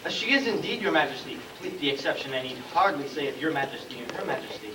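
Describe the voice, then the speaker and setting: A man playing a deferential, long-winded royal chancellor in a stage comedy, formal and halting as he picks his words. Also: a little high, animated, close to an everyday voice. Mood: flustered, formal. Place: school stage, live play performance. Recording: distant, noisy, echoey room recording of play.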